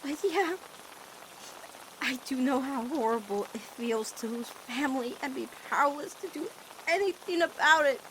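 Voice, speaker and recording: A woman's voice: high voice, lively intonation, moderate pace, a bit bright, slight background noise, low-quality recording. A woman voicing a caring, protective teenage girl in a cartoon fan dub, earnest and emphatic as she pleads with her girlfriend. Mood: subdued sorrow. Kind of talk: animated-series fan dub drama acting.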